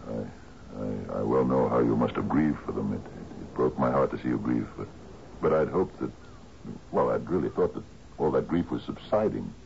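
An actor in a radio drama playing an anxious, genial older island captain, halting and stammering as he pleads. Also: rumbling low, lively intonation, gravelly, darkish tone, exaggerated voice. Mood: troubled, pleading. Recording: muffled, hissy old radio broadcast.

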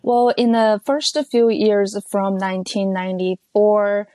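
A woman in her thirties from North Korea, speaking English with a North Korean accent as a second language after Korean, recounting her life as an interview guest over the phone in a measured, careful way with frequent pauses and fillers. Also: medium pitch, fairly even intonation, mellow, no room sound. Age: 30s. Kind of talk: personal testimony interview. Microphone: phone call.

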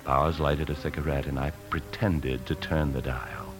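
A very deep, confiding American man's voice tells of a bank theft from the inside. He is anxious and tightly wound, in classic 1950s radio drama style, with music on the recording. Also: steady tone, moderate pace. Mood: tense narrating. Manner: tense, confiding. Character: anxious male bank officer secretly stealing a fortune. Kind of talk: 1950s radio drama acting with first-person narration.

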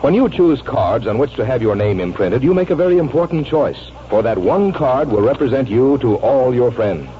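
A man's voice: medium pitch, lively intonation, moderate pace, hardly any pauses, a little hiss or hum. An American man reading scripted announcements as a 1940s radio announcer. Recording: dull 1940s radio broadcast recording.

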